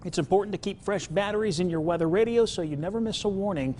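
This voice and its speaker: A man's voice: high voice, fast talker, darkish tone. A man presenting weather safety advice as a TV forecaster, in a conversational broadcast style.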